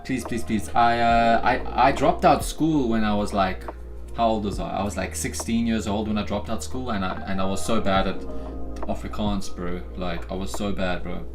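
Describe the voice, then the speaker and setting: A young South African man who hosts a live day-trading stream. He chats casually with his viewers, cheers his trades loudly and then drops into low, joking asides. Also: lively intonation, moderate pace, soft background music. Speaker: day-trading livestream host.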